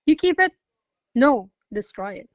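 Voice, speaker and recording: Radio play noblewoman played by an actress: proud, shrewd, commanding, formal verse, fairly high voice, close dry recording. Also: very animated, unhurried, dry recording. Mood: imperious. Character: proud, shrewd noblewoman teasing her secretary. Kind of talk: verse stage comedy, recited as radio play.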